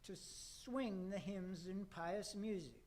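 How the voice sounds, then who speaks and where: A man's voice: high-pitched, slow, a little mellow. A man playing a pompous devil who formally presides over fellow fiends in a rhyming radio play. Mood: pompous, presiding. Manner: pompous, formal, theatrical rhymed delivery. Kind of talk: radio theater acting.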